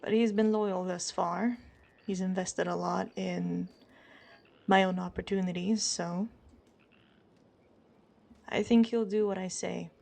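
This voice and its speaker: A woman playing a composed, guarded Old West photographer and fixer in improvised roleplay, speaking in a measured, careful way as a non-native English speaker. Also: fairly low pitch, fairly even intonation, creaky, darkish tone, exaggerated voice. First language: not English. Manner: measured, careful word choices.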